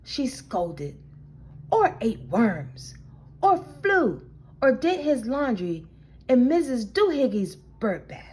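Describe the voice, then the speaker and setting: A woman reading a children's picture book aloud in an expressive, deliberate storytelling manner. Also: a little high. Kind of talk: animated picture-book read-aloud narration.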